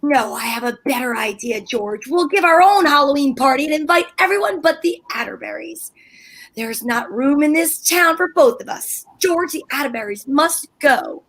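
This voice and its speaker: A woman's voice: high voice, expressive, moderate pace, a bit bright. A woman playing a sarcastic, scheming housewife in an amateur old-time radio comedy. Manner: tart, sarcastic, flustered. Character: scheming indignant housewife Liz.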